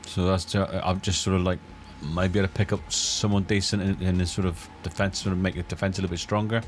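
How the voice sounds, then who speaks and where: A man's voice: sits low, little pitch movement, fast, slight background noise. A middle-aged or older man chatting casually as a co-host, with brief, hesitant, understated replies. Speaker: co-host, the host's father. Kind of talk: casual chat.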